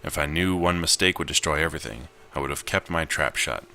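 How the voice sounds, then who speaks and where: A man's voice: very deep voice, fairly quick, bright, forceful tone. A man reading a visual novel aloud as he plays it, with casual commentary. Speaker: gamer narrating his gameplay.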